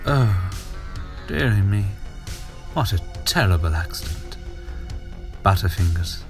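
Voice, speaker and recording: A man playing a sadistic, campy alien villain in an audio drama, with theatrical, mocking, drawn-out delivery. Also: low-pitched, expressive, a little mellow, faint music behind, exaggerated voice. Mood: mock innocent.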